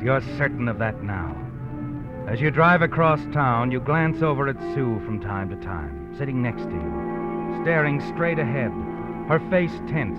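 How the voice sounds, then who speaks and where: A man's voice: mid-range voice, close to an everyday voice. An actor narrating a suspense radio play as an ominous, knowing man who speaks straight to the hero, in a muffled old recording. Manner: dramatic, knowing, addresses the character as "you". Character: ominous, knowing male narrator of a crime story. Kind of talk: 1940s radio suspense narration, second person.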